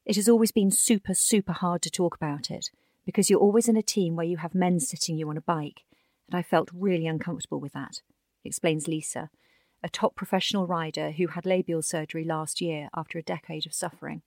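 A woman with a fairly low voice narrates a magazine article. Her delivery is a scripted long-form read. The recording is dry and close, with little room sound. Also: steady tone, a little fast, a little mellow, dry recording.

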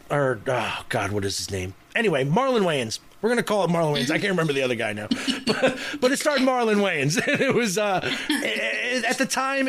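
A man's voice: high voice, a bit bright, a little hiss or hum. A man co-hosting a casual podcast, talking in an enthusiastic, rambling way and often trailing off mid-thought. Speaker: podcast co-host.

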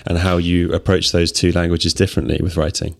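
A man interviewing a musician, asking measured and curious questions. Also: deep voice, level tone, vocal fry.

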